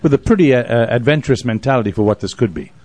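A man's voice: medium pitch, expressive, racing, darkish tone. A man hosting a casual radio interview, chatting and putting questions to his guests.

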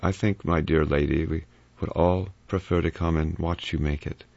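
A man reading aloud, voicing an elderly judge who speaks softly and in a measured way. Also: very deep voice, steady tone, gravelly, dry recording, exaggerated voice. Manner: soft, measured. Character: old judge, gentle and reptilian. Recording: slightly muffled dry recording.

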